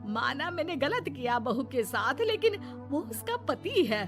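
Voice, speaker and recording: A woman's voice: sits high, very animated, a little slow, over music, full character voice. A woman voicing a blunt, bossy older mother-in-law in a scolding, dramatic cartoon manner. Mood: insistent. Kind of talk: Hindi cartoon moral story dialogue.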